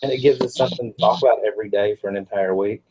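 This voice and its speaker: Sex: man. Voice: husky dry wisecracks, muffled. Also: fairly low pitch, steady tone, very fast, darkish tone. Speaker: regular in the hangout. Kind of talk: casual banter.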